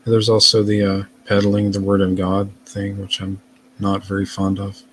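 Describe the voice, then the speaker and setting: A man's voice: lowish voice, monotone, moderate pace, a bit bright, slight background noise, poor audio. A man chatting casually as a guest on a live stream, rambling and hesitant with many fillers.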